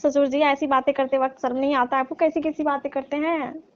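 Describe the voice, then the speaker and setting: A woman's voice: high-pitched, level tone, brisk, lightly acted. A woman playing a young daughter-in-law in a comedic phone-call skit, scolding and teasing in mock reproach. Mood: mock protesting. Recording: dull lo-fi phone-call style audio.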